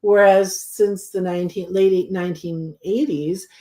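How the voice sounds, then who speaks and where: A woman guest who channels, explaining her ideas in a thoughtful, measured way. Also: mid-range voice, steady tone, mellow. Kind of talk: casual conversation. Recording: roomy echo.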